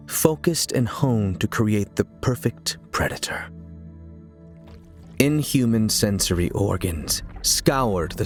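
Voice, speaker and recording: Low-voiced man narrating over music. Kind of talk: dramatic scripted audio story narration.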